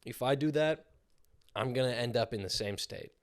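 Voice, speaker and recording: A man's voice: medium pitch, moderate pace. A young man from California co-hosting a podcast, chatting casually and acting out quoted lines as he tells stories. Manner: animated storyteller, acts out quoted voices. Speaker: podcast co-host. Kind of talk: casual chat.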